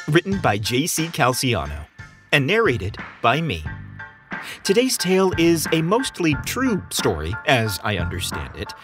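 A man hosting and narrating a scripted story podcast in a theatrical, expressive storytelling style. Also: medium pitch, a little slow. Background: music behind the voice.

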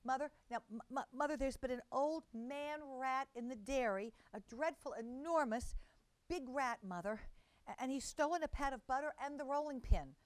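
A woman's voice: sits high, moderate pace, dry recording, pitched above the performer's own voice, close to an everyday voice. A woman voicing a frightened little girl kitten who stammers as she reports to her mother.

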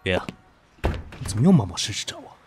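An actor in an audio drama playing a proud, weary, sharp-tongued businessman, guarded with his lover, speaking in a curt, dry way. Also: fairly low pitch, very animated, fast talker, noisy background. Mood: cool, guarded.

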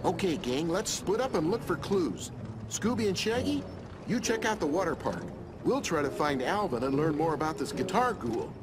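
An actor playing a confident, clean-cut young gang leader in a cartoon video game, earnest and take-charge. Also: male voice, a little high, lively intonation, normal speed, lightly acted. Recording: loud music behind.

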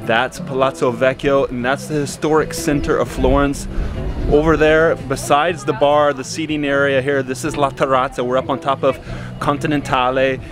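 A man's voice: mid-range voice, level tone, moderate pace. Enthusiastic male travel vlogger over music. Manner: enthusiastic, emphatic. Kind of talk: upbeat travel vlog presenting to camera. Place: outdoors, city streets and an open rooftop terrace. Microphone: handheld camera at arm's length.

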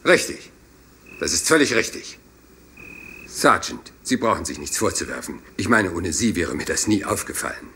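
An actor in a radio play playing a rumpled, courteous police inspector who apologizes and meanders doggedly. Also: male voice, sits low, big pitch swings, normal speed, pushed and bright, slight background noise, full character voice. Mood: methodical.

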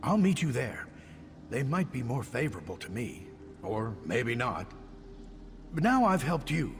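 An actor playing a fearful, indignant middle-aged captive mage in a video game, agitated and theatrical. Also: male voice, mid-range voice, very animated, measured pace, over music. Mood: wary, explaining. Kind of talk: video game dialogue acting. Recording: long reverb, background noise.

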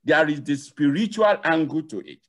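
A man's voice: fairly high pitch, animated, moderate pace. An older man explaining as a guest lecturer, emphatic and repeating key phrases, on a video call. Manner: emphatic, repeats key phrases, asks and answers own questions. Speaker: university lecturer, guest expert. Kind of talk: explanatory interview talk. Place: indoor room at home. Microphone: headset on a video call.

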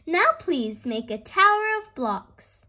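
A woman's voice: high-pitched, sing-song, takes it slowly, pushed and bright, exaggerated voice. A woman voicing a cheerful father pig from a storybook, who teaches children in an encouraging way. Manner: encouraging, instructive.

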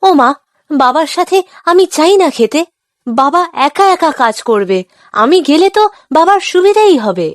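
A woman's voice: high-pitched, big pitch swings, moderate pace, no room sound, clean studio sound. A woman voicing an earnest, pleading, helpful young village boy in a children's cartoon.

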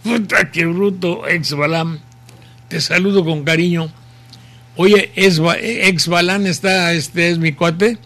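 Slow, rambling older Mexican man. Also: high-pitched, fairly even intonation, pushed and bright. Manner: rambling, folksy, slow and unhurried. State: sore throat. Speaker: radio host. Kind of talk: casual on-air commentary reading messages. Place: home study with bookshelves.

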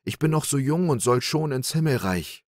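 A man voicing a clever, plucky young peasant in a storybook reading, talking in a raised, lively, boastful way. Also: medium pitch, moderate pace, no room sound, studio quality, voice pushed up, close to an everyday voice. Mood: pleading.

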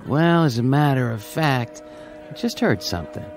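Man playing a brash, lively-pitched bartender. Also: normal speed, soft background music, close to an everyday voice. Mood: slyly helpful. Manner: slangy, casual, ingratiating. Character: brash, sly, wisecracking barroom bartender. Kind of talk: radio drama acting.

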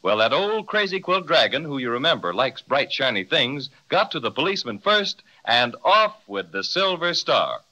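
A man's voice: medium pitch, very animated, normal speed, few pauses, bright, forceful tone. A man narrating a children's radio serial as a playful, confiding storyteller. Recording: dull 1930s transcription.

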